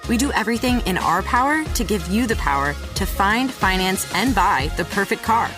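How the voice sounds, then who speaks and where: A woman delivers a scripted advert voice-over at an average pitch. A music bed is clearly heard under her voice. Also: animated, moderate pace. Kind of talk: scripted ad read.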